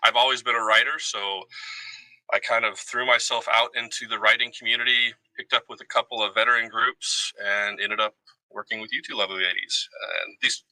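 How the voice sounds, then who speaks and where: A man's voice: mid-range voice, steady tone, fairly quick, bright, forceful tone, dry recording, low-quality recording. A man speaking as a guest in casual conversation, candid and reflective about himself. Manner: reflective, self-revealing. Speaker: guest, retired army veteran. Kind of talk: candid casual personal talk.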